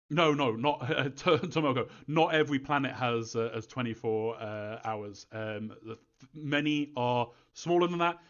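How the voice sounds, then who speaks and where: A man answering fan questions in an informal live Q&A, thinking aloud in a halting, rambling way, as a developer. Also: mid-range voice, lo-fi sound.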